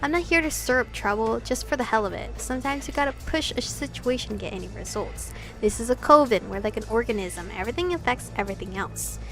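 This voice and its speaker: A woman's voice: fairly high pitch, animated, quick pace, hardly any pauses, faint music behind. A woman voicing an angry, passionate young male vampire rebel in a visual novel, accusing and insistent. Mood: impassioned. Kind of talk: visual novel read-aloud.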